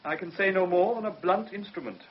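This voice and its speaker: A man's voice: high-pitched, fairly quick, close to an everyday voice. A man playing a firm, clinical doctor giving evidence in a radio drama, formal, precise and assured. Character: firm, clinical male doctor testifying as witness. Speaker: actor. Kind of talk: British radio drama acting. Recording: muffled vintage radio drama recording.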